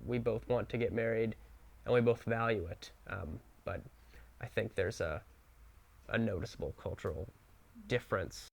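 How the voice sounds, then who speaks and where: Young guy from the us, gravelly fry in his voice, calm and thoughtful. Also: fairly low pitch, normal speed. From United States. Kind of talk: personal testimony in a documentary interview.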